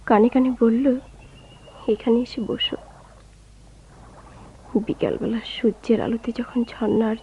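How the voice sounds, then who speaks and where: A woman's voice: on the high side, gravelly, mellow, husky, full character voice. An actress playing a grieving young woman who waits for her lost lover, with poetic, theatrical delivery in a radio drama. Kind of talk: Bengali radio drama acting. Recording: dull, lo-fi, noisy old radio recording.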